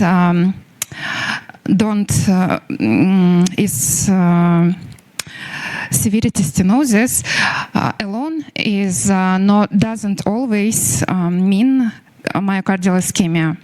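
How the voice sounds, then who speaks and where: A woman researcher, giving a prepared scientific talk in halting English with frequent self-corrections. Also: mid-range voice, dark tone. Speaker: young medical researcher presenting at a contest.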